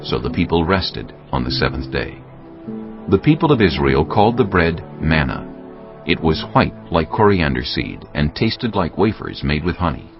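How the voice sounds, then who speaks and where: Deep-voiced, animated male scripture narrator. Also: normal speed, highs cut off, over music.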